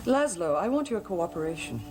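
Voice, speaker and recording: A woman's voice: fairly low pitch, sing-song, brisk. An actress playing a desperate, scheming, possessed woman in melodramatic gothic soap opera style. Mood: defiant, scheming. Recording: old TV soundtrack, loud music.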